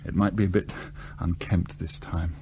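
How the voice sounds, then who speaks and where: An actor playing an earnest, lovestruck research scientist in a radio play, confessing his feelings in a hesitant, deliberate way. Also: low voice, level tone, background noise. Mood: tender, resolute. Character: earnest, lovestruck, self-searching male research scientist from genteel Salisbury. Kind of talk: radio drama acting.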